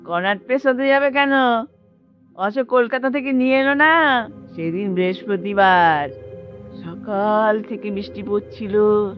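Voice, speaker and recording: A woman playing a sentimental, stubborn old mother in a radio drama, halting and emotional as she repeats her phrases. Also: mid-range voice, muffled recording, music in the background, close to an everyday voice. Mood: nostalgic, coaxing. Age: older. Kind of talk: Bengali radio drama acting.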